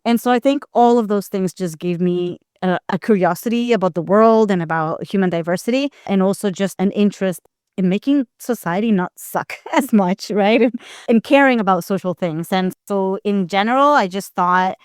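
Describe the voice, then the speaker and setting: A middle-aged woman from Costa Rica talks as an interview guest. Her voice is clean and smooth at an average pitch, recorded dry and close. She explains herself candidly and reflectively, in a conversational way. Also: normal speed, a little mellow, no room sound. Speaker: guest, anthropologist and expert witness.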